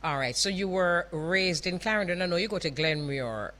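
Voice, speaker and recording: A woman's voice: on the low side, expressive, moderate pace, a bit bright. A woman with a Jamaican accent hosting a radio show, chatting casually, often laughing and calling people love. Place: radio studio.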